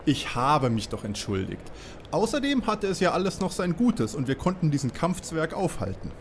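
An actor playing an earnest, conciliatory young detective sidekick in a parody radio play. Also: male voice, fairly high pitch, very animated, moderate pace, a little hiss or hum.

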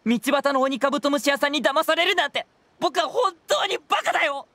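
A woman voicing a clever, composed young male detective in a game, with polished, measured, theatrical delivery. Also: high voice, a bit bright, no room sound. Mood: sheepish. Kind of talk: Japanese game voice acting.

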